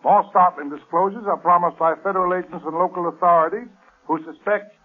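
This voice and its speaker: A vintage radio newscaster, crisp and formal, fairly high and husky, over faint music. The sound is thin and tinny, like an old broadcast. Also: male voice, fairly even intonation, fairly quick. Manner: crisp, formal bulletin delivery. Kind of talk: 1940s radio news reading.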